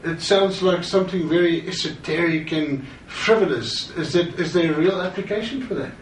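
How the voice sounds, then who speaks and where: A man's voice: a little high, moderate pace. Man, echoey and distant. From South Africa. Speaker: course co-presenter. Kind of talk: course introduction. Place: studio with green-screen backdrop. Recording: roomy, distant, faint hiss.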